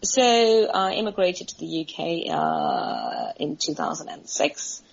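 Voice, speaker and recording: Husky South African woman, lo-fi sound. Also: low-pitched, huge pitch swings. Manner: thoughtful, measured, with hesitant fillers. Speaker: guest, friendship expert. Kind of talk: podcast interview.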